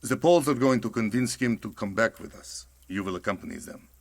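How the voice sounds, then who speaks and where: Low-voiced ruthless Soviet officer. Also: male voice, animated, a little fast, vocal fry. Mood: jovial, commanding. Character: hearty, ruthless Soviet army lieutenant, man. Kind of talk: dramatic game cutscene acting.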